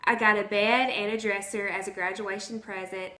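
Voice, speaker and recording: A hoarse woman, chatting casually to her vlog audience from home. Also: mid-range voice, steady tone, moderate pace, pushed and bright. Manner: chatty, conversational. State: hoarse from the flu. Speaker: vlogger. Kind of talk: casual chat to camera. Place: at home, indoors. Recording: roomy echo.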